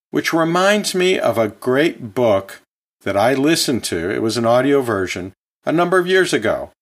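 A man hosting an educational podcast, talking like a chatty teacher in a conversational, folksy way with casual asides. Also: mid-range voice. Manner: chatty, chuckles often, self-correcting asides. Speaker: podcast host and anatomy and physiology teacher.

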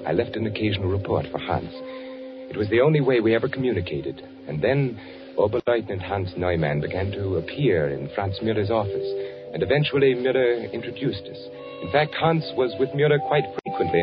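Undercover agent for 1940s radio drama: deep, animated yet deliberate, posing as an architect. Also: male voice. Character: shrewd undercover spy posing as an architect, also narrating. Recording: dull, band-limited 1940s radio recording.

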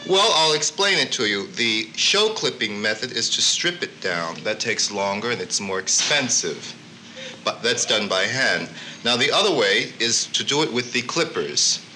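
A man's voice: mid-range voice, lively intonation, moderate pace, bright, forceful tone, a little hiss or hum, poor audio. A man explaining options to a customer in a plain-spoken, matter-of-fact way. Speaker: dog groomer.

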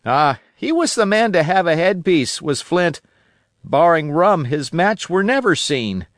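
Man voicing an old marooned sailor, eager, cunning, rustic dialect, high bouncy voice, dry sound. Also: sing-song, unhurried, fairly bright tone, no room sound. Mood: confiding. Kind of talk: audiobook character voice.

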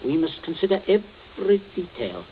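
An actor playing a middle-aged English family patriarch in an old radio thriller, nervous and scheming, with a fussy, stammering false joviality. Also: male voice, high voice, big pitch swings, moderate pace. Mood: brooding, calculating. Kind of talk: 1940s radio thriller acting. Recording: old tinny, noisy radio recording.

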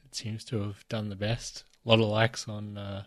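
Croaky man with a cold. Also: fairly low pitch, monotone, moderate pace. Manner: asks questions, gently teasing. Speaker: podcast co-host. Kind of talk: casual chat.

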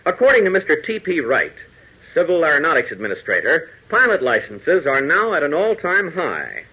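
A man's voice: on the high side, wildly swooping pitch, normal speed, pushed and bright, husky, poor audio. An American man narrating a 1940s radio adventure serial in a dramatic, emphatic announcer style.

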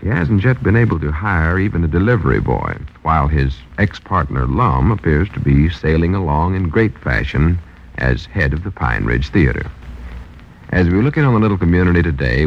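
Brief: male vintage radio announcer, extremely deep, scripted storytelling intro, muffled old recording. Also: lively intonation, normal speed, vocal fry, slight background noise. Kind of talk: 1940s radio comedy introduction, scripted narration.

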